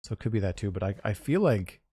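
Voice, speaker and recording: A man streaming a building game, talking casually in a raspy, slightly hoarse voice as he thinks aloud in an unhurried, rambling way. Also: fairly low pitch, very animated, mellow, no echo, studio quality. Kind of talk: casual live-stream chat while building.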